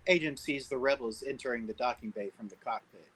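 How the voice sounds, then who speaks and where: A man's voice: mid-range voice, a little fast, fairly bright tone, slight background noise, low-quality recording. A man serving as narrator, reading screenplay stage directions at a table read.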